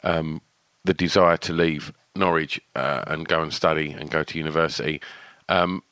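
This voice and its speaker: A man with a very low, deep voice asks slow, carefully built questions and pauses on fillers. His sound is close and dry, with no room in it. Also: fairly even intonation, pushed and bright, no room sound. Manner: measured, hesitant questioning with fillers. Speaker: interviewer, podcast host. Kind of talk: interview questions.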